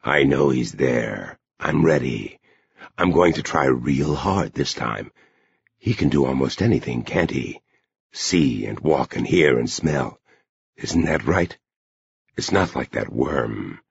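A man acts out a naive, restless male companion in an audiobook tale. He pleads and questions anxiously in a low, creaky voice, on slightly muffled audio. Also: animated, slow pace, dry recording, heavily acted. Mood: restless, longing.

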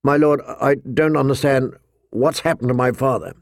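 Man playing an earnest young man, creaky voice. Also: medium pitch, normal speed, no room sound, clean studio sound, lightly acted. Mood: anxious. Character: anxious, guarded, loyal young lord's bastard son.

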